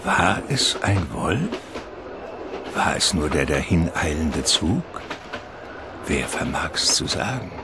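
A man narrating a radio drama in a measured, atmospheric, literary manner. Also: very deep voice, very animated, noisy background.

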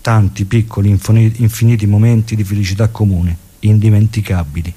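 A man's voice: fairly low pitch, flat delivery, brisk. A man reading a scripted memoir in a reflective, literary way for radio. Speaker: reader.

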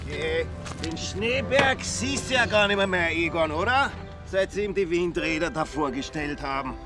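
An actor playing a chatty village schoolteacher in a radio drama, with an Austrian accent and an eager, obliging manner. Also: male voice, on the high side, expressive, normal speed, music in the background, close to an everyday voice. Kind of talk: naturalistic radio drama acting.

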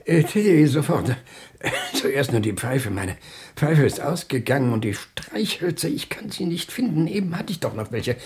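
An actor playing a fussy, muddled old hotel lodger who stammers and repeats himself in a husky voice, in a German radio play. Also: male voice, fairly high pitch, big pitch swings, measured pace, darkish tone. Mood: flustered, cheerful.

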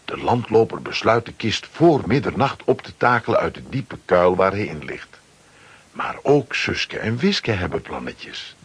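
Husky, deep, animated male storyteller. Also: measured pace. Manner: dramatic storytelling. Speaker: narrator. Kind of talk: scripted children's story narration.

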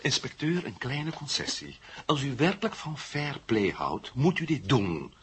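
Hot-headed detainee, swinging intonation, rough unclear voice, sarcastic, urgent, vintage radio play. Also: male voice, medium pitch, sing-song, unhurried, a bit bright, exaggerated voice. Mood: urgent, earnest. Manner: emphatic, cutting, argumentative. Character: defiant, hot-headed man held by police, urgent and sarcastic. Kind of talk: 1970 Dutch radio drama acting.

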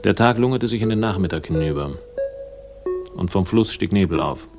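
A very deep-voiced, world-weary detective tells his story in a crime radio play. His delivery is dry, literary and ironic, with clear music behind him. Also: animated, moderate pace. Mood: sardonic musing. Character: wry, world-weary male detective narrator. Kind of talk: scripted first-person crime radio narration.

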